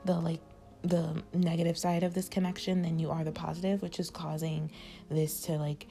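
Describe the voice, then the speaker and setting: A woman's voice: low-pitched, level tone, moderate pace, gravelly, dark tone, soft background music. A woman doing a casual tarot reading, rambling with lots of fillers and losing her voice. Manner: rambling, many "like" fillers, advising tone. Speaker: tarot reader.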